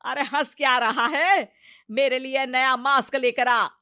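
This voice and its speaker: A woman playing a hot-tempered, stubborn older village mother-in-law in a cartoon, scolding loudly. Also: high voice, fairly even intonation, a little slow, dry recording, studio quality. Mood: bossy, exasperated. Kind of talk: cartoon family comedy acting.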